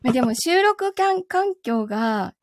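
A woman with a high, very animated voice hosts a casual live chat. She breaks into laughter often. The sound is close and very dry, as in a padded booth. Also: moderate pace, no echo. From Yokohama area, Japan. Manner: laughs often while chatting. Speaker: live-stream host and voice performer. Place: indoors in a sound-absorbing foam-lined booth.

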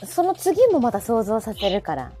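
A woman's voice: on the high side, huge pitch swings, normal speed, over music. A woman with a Kansai accent hosting a casual live chat, often chiming in with repeated little acknowledgements. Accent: Kansai Japanese. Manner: frequent repeated backchannels. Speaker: host of a live audio show.

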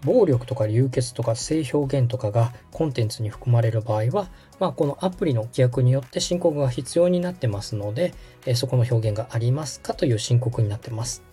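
Man, mellow tone, animated pitch, patient tutorial delivery, polite, background music. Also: a little fast, few pauses, breathy edge. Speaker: host and radio director guiding a setup. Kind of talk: conversational step-by-step tutorial.

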